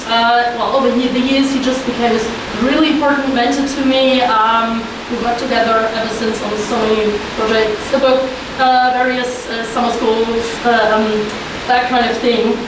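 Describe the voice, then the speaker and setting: A woman's voice: a little high, steady tone, moderate pace. A woman with a German accent giving an informal, enthusiastic conference talk as an academic researcher. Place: indoor conference room. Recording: very echoey hall, distant mic, hissy noise.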